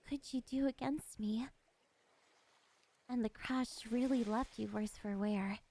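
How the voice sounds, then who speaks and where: A woman performs as a lonely siren in an ASMR roleplay. Her voice is high, soft and mellow, too weak to lure sailors. She talks gently and without hurry, curious yet possessive and quietly predatory, over faint background noise. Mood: hungry, menacing.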